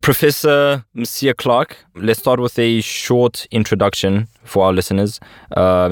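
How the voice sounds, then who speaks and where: A man's voice: sits low, no echo, clean studio sound. A young man from South Africa hosting a podcast interview, reading an upbeat intro and then chatting casually. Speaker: podcast host.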